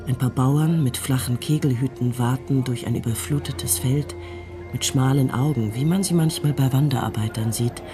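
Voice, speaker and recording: A man reading scripted radio drama narration in a measured, matter-of-fact storytelling manner. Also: mid-range voice, music in the background.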